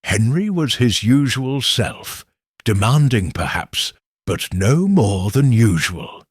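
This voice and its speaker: A man voicing a cool, calculating upper-class woman with a measured, faintly edged manner in a mystery audiobook. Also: mid-range voice, very animated, breathy edge, no echo, clean studio sound, exaggerated voice.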